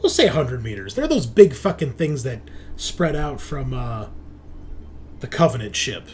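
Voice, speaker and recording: A man's voice: mid-range voice, big pitch swings, moderate pace, a little mellow. A man hosting a tabletop game as game master, narrating casually with joking, sweary banter. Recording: background noise, room ambience.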